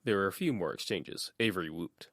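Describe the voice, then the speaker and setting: A male audiobook narrator reading prose in a steady, even way. His voice sits very low, and the recording is dry and close, with no room sound. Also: fairly quick, no room sound. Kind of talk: scripted narration.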